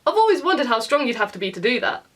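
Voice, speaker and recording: A British woman giving chatty, comedic video game commentary in an expressive, playfully exasperated and mildly sweary way. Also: on the high side, very fast. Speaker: video game reviewer and channel host. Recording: music behind the voice. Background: background music.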